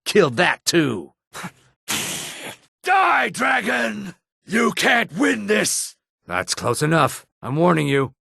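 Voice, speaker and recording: Gruff lizard-man, game character, gravelly fry, unhurried, wary, streetwise, raspy. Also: sits high, huge pitch swings, bright, forceful tone, no room sound, clean studio sound, exaggerated voice. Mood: shouting, fierce. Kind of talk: video game character dialogue lines.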